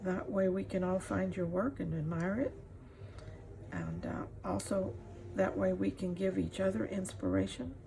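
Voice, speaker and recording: A middle-aged woman giving a casual craft demonstration as she works, pausing often to think. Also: on the low side, warm tone. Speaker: craft tutorial host. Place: indoors at a craft table. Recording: clear background noise, room ambience.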